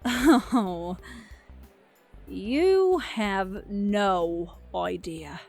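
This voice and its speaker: Woman playing a coy, slow-talking pony authoress. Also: mid-range voice, lively intonation, creaky, a little mellow, faint music behind, heavily acted. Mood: wry. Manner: sweet, coy, drawn-out. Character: coy, sly female pony posing as modest author. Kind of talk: audio play character acting.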